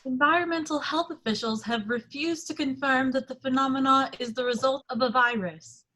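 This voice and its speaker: A woman playing a formal, measured television newsreader in an amateur screenplay table read. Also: sits high, bright, forceful tone, rough recording. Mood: grave, official. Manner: formal, official.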